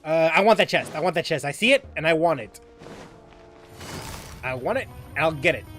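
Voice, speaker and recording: A man's voice: a little high, animated, normal speed, fairly bright tone, faint music behind. A man giving casual gameplay commentary, thinking aloud and often repeating himself. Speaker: game streamer.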